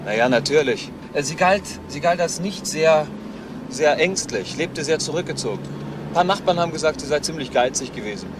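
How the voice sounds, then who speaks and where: Guy as earnest junior cop in a radio crime drama, highish voice, bit halting, noisy recording. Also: lively intonation, close to an everyday voice. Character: earnest male junior police detective, reporting diligently. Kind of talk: German crime radio play.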